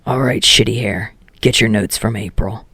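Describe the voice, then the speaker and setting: A man acting out a hot-headed, foul-mouthed teenage boy from an audiobook. He is blunt and scolding, with a husky growl full of gravel, captured close and dry. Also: lowish voice, moderate pace, sharp tone, no echo, full character voice. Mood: gruff, impatient. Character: brash, foul-mouthed, short-tempered teenage boy tutoring a classmate. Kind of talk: acted dialogue in fan fiction audiobook.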